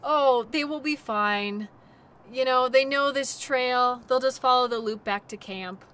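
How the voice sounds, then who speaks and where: A woman playing a cheerful, reassuring dog-sled musher in a naturalistic audio roleplay, chatting gently to her passenger. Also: high-pitched, measured pace, clean tone. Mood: startled, concerned. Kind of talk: audio roleplay, naturalistic second-person acting.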